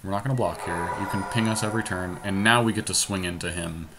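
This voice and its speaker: A man's voice: deep voice, fast. A man casually thinking aloud as he co-hosts commentary on an online card game. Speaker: podcast co-host playing an online card game. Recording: noticeable background noise, amateur home capture.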